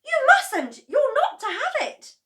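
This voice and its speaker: A woman voicing an earnest, anxious young boy with a squeaky voice in a storybook reading. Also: wildly swooping pitch, slow pace, far from the mic, heavily acted. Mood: pleading.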